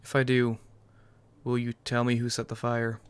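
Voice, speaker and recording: A man's voice: medium pitch, monotone, moderate pace, clean tone. A man hosting a gaming video, giving casual roleplay commentary as he plays. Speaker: gaming video host and player.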